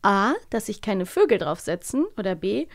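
Woman podcast co-host, middle pitch, lively and responsive, quick to interject. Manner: lively, reacting with short interjections and asking questions. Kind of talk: casual chat.

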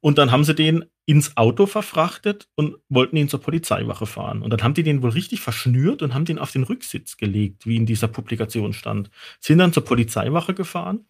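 A man's voice: medium pitch, lively intonation, fairly quick, creaky, husky. A man telling stories casually on a podcast, explaining things in an amused, conversational way. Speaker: toxicologist guest expert.

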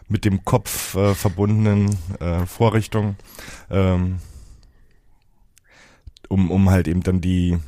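Male tech guest. Very low voice, halting and methodical explainer, conversational, close dry sound. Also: mellow, no room sound. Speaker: interview guest, app developer. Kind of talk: casual technical explanation.

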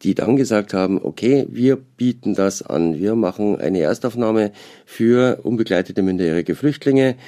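A man who works in youth welfare, answering interview questions calmly. His voice is fairly low and dark.

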